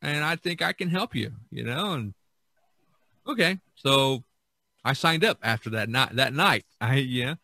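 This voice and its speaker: Male podcast host, middle-aged, bright and dry-miked, conversational, loose and repetitive storytelling. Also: mid-range voice, a little slow, no room sound. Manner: rambling, repeats himself, animated when quoting others. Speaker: podcast host, visual artist.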